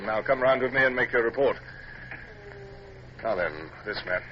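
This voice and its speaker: An actor playing a weary, stern British naval captain with an English accent, in formal old radio drama style. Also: male voice, medium pitch, lively intonation, normal speed, natural voice. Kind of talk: old radio drama acting and first-person narration. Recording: dull, noisy old radio recording.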